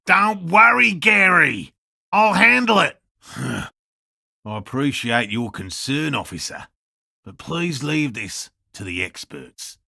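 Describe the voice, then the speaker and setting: Game role: obsessive, courteous male field scientist, husky and crackling, lively pitch, fussy scholarly delivery. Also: takes it slowly, no echo, clean studio sound, exaggerated voice. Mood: calling out loudly. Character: earnest eccentric male cryptozoologist scientist, obsessive but courteous. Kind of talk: video game dialogue voice lines.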